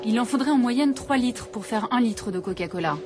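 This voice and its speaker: A woman's voice: on the high side, lively intonation, fairly quick, over music. A woman from France, a journalist, reading scripted first-person narration for a documentary.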